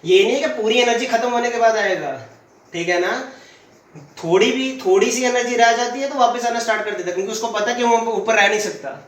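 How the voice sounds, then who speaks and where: A man's voice: extremely high pitch, a little fast, fairly bright tone, reverberant, recorded from a distance. A man with a sore throat teaching a physics class, putting questions to the students and answering them himself. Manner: uses everyday stories as examples. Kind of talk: classroom lecture.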